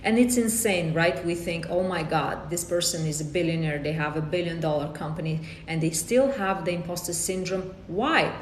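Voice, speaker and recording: A woman's voice: normal speed, few pauses. A woman from Romania with a Romanian accent, a life coach hosting a live-streamed workshop, greeting viewers by name and often repeating or restarting her phrases. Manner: greets viewers by name and reads comments aloud. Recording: echoey room with faint rumble.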